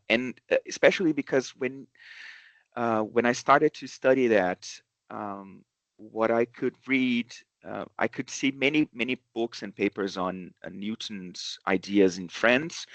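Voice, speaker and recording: A man gives an academic seminar talk in English, his voice low and heard dry and close. He pauses often, filling gaps with "uh" and starting phrases over as he works through his points. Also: a bit bright, no room sound. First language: Portuguese. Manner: hesitant, many fillers and restarts. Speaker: research seminar speaker.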